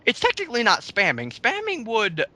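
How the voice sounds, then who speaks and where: A man's voice: very high voice, huge pitch swings, fairly quick, sharp tone. A man in casual group commentary, ranting in an argumentative, sarcastic way and swearing freely. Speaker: commentary co-host.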